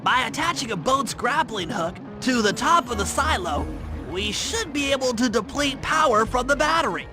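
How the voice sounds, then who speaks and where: Video game brainiac animal, male, extremely high pitch, earnest technical briefing manner, music underneath. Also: lively intonation, normal speed. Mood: earnest, instructive. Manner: precise, technical, explanatory. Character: brainy, earnest, nerdy male gadget expert cartoon animal. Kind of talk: video game cutscene voice acting. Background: background music.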